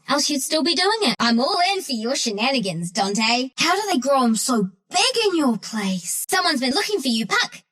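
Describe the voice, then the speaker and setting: A mischievous young squirrel of the woods, a video game heroine with plenty of cheek. Her voice sits high and leaps about animatedly. She talks in cocky slang. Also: fairly bright tone, exaggerated voice. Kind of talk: video game character voice lines.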